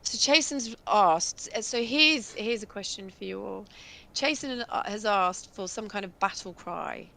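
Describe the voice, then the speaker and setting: Chatty woman, husky tone, loose and rambling, casual Q&A co-host. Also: mid-range voice, expressive, unhurried, pushed and bright. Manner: chatty, rambling, laughs often. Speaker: voice actress and co-host. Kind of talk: casual chat and Q&A.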